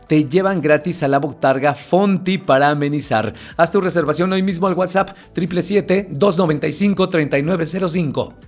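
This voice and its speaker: Chatty Mexican radio host man. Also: on the high side. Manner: chatty, addresses listeners directly, repeats phone numbers. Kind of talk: casual morning radio talk with promos. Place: radio studio.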